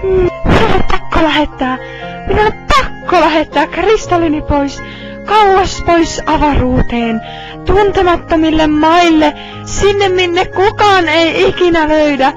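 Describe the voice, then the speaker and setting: A woman's voice: sits high, highs cut off, lightly acted. A woman voicing an anguished anime heroine in a melodramatic, pleading amateur fan dub. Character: anguished anime heroine fighting off inner evil.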